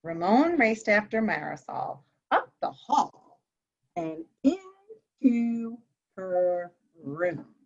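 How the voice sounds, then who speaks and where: A woman's voice: mid-range voice, sing-song, extremely slow, a little mellow. A woman guest reader on a children's story show, reading a picture book aloud with expression. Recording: muffled lo-fi audio.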